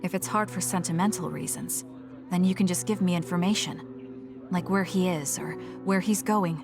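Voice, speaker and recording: A woman's voice: fairly low pitch, moderate pace, over music, natural voice. An actress playing a cool, blunt, determined young female soldier in a video game, reserved and matter-of-fact. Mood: cool, firm.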